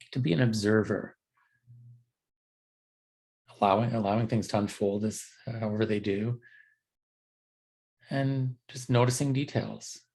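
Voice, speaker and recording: A man leading a guided body scan meditation as a teacher, speaking gently and unhurriedly in a halting, unscripted way with frequent pauses. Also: on the low side, fairly even intonation, vocal fry, low-quality recording.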